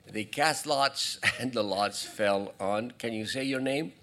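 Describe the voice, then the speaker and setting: A male pastor preaching a sermon in a church, talking in a halting way with restarts and asides to the congregation. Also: mid-range voice, fairly bright tone. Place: church sanctuary.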